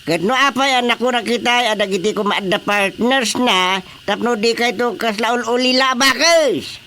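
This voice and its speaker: A man's voice: extremely high pitch, normal speed, pushed and bright, slight background noise, full character voice. An actor with an Ilocano accent playing a bossy, exasperated village chairman in a radio drama comedy. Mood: exasperated, commanding.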